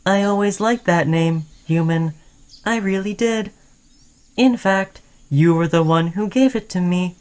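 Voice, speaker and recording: A woman's voice: lowish voice, a little slow, a little mellow, clear, resonant tone, close to an everyday voice. A woman voicing a friendly, caring, obsessively devoted sentient robot in a chatty, soothing audio roleplay. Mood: fond, earnest. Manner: chatty, soothing, addressing listener as human friend. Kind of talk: scripted ASMR roleplay addressed to the listener.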